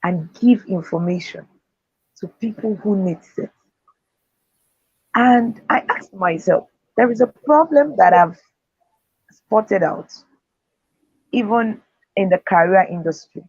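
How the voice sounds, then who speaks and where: A woman's voice: medium pitch, lively intonation, slow pace, long pauses, a little mellow, slightly rough, no room sound. A woman speaker and author giving earnest, faith-driven motivational answers in an interview. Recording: dull, dry lo-fi sound.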